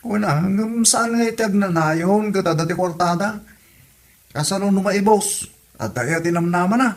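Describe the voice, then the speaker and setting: High-voiced grumbling Ilocano husband acted by a man. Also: moderate pace. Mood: exasperated. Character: exasperated husband grumbling about his spendthrift sibling. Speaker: actor in a comedy drama. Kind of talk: Ilocano comedy radio drama acting.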